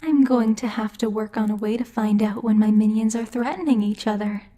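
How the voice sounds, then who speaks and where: Mellow, high-voiced demon lady boss, acted by a woman. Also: fairly even intonation, close to an everyday voice. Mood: composed, wry. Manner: measured, imperious, teasing, formal. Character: commanding female demon lord, teasing yet protective boss. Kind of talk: ASMR roleplay monologue.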